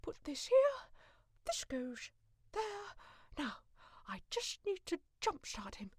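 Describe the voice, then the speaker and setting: A woman playing a determined, affectionate, resourceful adventurer in an audio drama, expressive and commanding. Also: very high-pitched, slow, no room sound, studio quality, heavily acted. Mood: busy, frustrated. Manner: expressive, thinking aloud, commanding.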